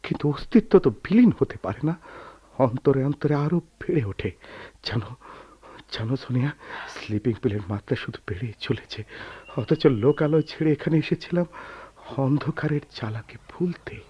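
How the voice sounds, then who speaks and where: A man's voice: mid-range voice, lively intonation, normal speed, no room sound. A man acting a bitter, proud, brooding man in a radio drama, with theatrical delivery that can swell into anguish. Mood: bitter, melancholy. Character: bitter, proud man who lost his right leg, brooding. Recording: dull lo-fi radio recording, clearly noisy.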